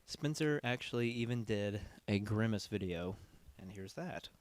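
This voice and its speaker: A man's voice: lowish voice, lively intonation, a little slow, very noisy. A man hosting a video commentary in a casual, conversational, informal way. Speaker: video essay host.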